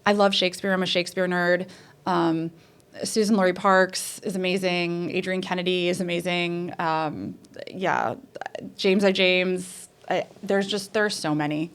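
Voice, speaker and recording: A woman theater professor with a lower voice, telling stories in a casual interview, chatty and full of fillers and restarts. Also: fairly even intonation, a little slow, smooth. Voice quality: says she has a lower voice.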